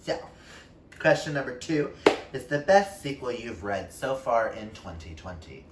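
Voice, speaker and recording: A man's voice: medium pitch, very animated, unhurried, distant mic. Gushing man in an echoey room. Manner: gushing, emphatic. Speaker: book reviewer on a vlog. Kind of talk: casual book chat to viewers. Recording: echoey room with faint background noise.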